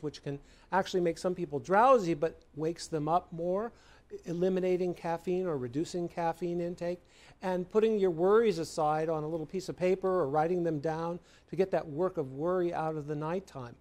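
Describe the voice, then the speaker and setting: American man, expert interviewee, explanatory, mellow timbre, somewhat high pitch. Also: normal speed. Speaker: sleep medicine expert being interviewed.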